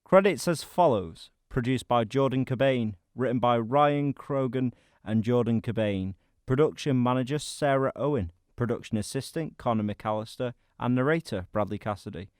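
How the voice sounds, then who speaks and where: A man's voice: medium pitch, normal speed, few pauses, darkish tone, dry recording, studio quality. A man reading credits as a scripted announcement.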